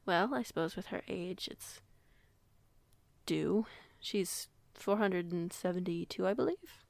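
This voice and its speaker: A woman playing a protective female werewolf pack alpha in an audio roleplay, speaking in a measured, polite, reassuring way. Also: lowish voice, creaky, dry recording. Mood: amused. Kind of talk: audio roleplay acting, conversational.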